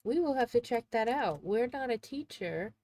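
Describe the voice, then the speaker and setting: A woman's voice: on the high side, lively intonation, normal speed, mellow. Congested chatty woman streamer. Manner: rambling, chatty, thinks aloud. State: congested, sneezing. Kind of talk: casual livestream chat.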